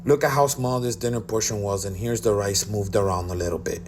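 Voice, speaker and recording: A man's voice: on the low side, fairly even intonation, a little fast, slight background noise. A man narrating a cruise review voice-over in a blunt, complaining way.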